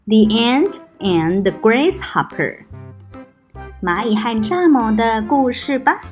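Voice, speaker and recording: A woman's voice: a little high, lively intonation, slow, faint music behind. A woman hosting a preschool storytime, narrating expressively and speaking directly to small children. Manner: addresses small children with questions and soft particles.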